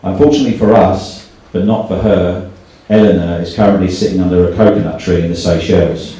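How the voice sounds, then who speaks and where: A man's voice: deep voice, brisk, warm tone. A man hosting a live event, giving an earnest, slightly hesitant introduction speech in an indoor room. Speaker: event host. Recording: echoey room, distant mic, faint noise.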